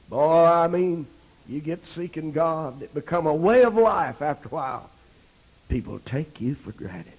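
A middle-aged American man delivers a Pentecostal sermon in a creaky, somewhat high voice. He shifts between folksy storytelling and forceful exhortation, peppering it with amens. The old recording sounds muffled and lo-fi. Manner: swings between low folksy storytelling and loud shouted exhortation; punctuates phrases with amen. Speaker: preacher. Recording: dull, lo-fi archival sermon recording.

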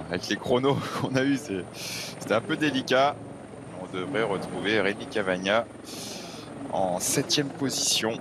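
A man co-commentating a cycling race in a measured, explanatory way. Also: fairly low pitch. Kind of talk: live cycling commentary, results analysis. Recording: clear helicopter and vehicle noise.

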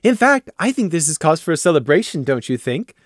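A man voicing a bubbly, pushy female unicorn mayor who chatters brightly and bosses everyone around. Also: fairly high pitch, big pitch swings, no room sound, studio quality, pitched above the performer's own voice. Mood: bubbly bossy. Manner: bright, bossy, rapid-fire chatter.

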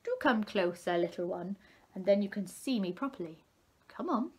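A woman's voice: mid-range voice, lively intonation, moderate pace, breathy edge. A woman reading a storybook as a sly male crab, mocking and wheedling. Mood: mocking, coaxing.

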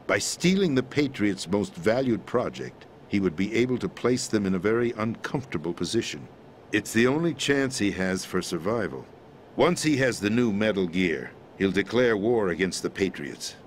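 An actor playing a middle-aged president in a video game, explaining a conspiracy in a measured, grave manner. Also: male voice, on the low side, lively intonation, slight background noise, close to an everyday voice. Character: calm, grave middle-aged man, president briefing via codec. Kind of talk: video game cutscene voice acting.

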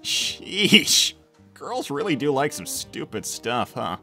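Woman voicing cocky teen male hedgehog, low and slow, brushes everything off. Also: big pitch swings, sharp tone, slightly rough, faint music behind, heavily acted. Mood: flippant. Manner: flippant, teasing, dismissive. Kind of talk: fan-made cartoon voice acting.

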